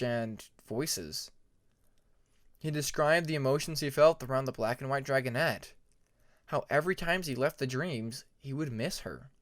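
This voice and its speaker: A man narrating a story from a script as an audiobook, reading deliberately phrase by phrase. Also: mid-range voice.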